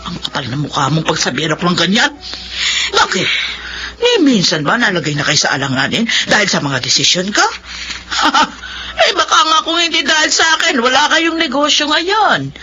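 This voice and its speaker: A woman's voice: on the high side, pushed and bright, lo-fi sound, slight background noise. An actress playing a domineering, easily offended older mother-in-law in a Filipino radio drama, sarcastic and scolding.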